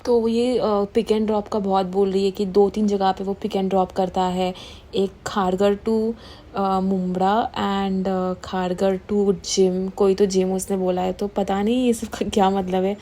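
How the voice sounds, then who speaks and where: Chatty woman with a mellow voice. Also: mid-range voice, little pitch movement, slow. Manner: chatty, gossipy, switching between Hindi and English. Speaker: gossip commentary host. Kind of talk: casual Hindi-English commentary.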